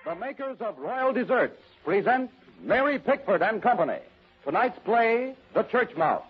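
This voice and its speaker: A man's voice: high voice, very animated, moderate pace, dull audio, music in the background. A man announcing formally in the style of 1930s American radio, on an old broadcast recording.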